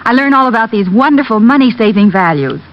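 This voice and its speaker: Woman playing an eager, animated American shopper. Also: fairly high pitch, quick pace, a little hiss or hum, lightly acted. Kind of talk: scripted 1940s-50s radio advertisement. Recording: dull old radio broadcast.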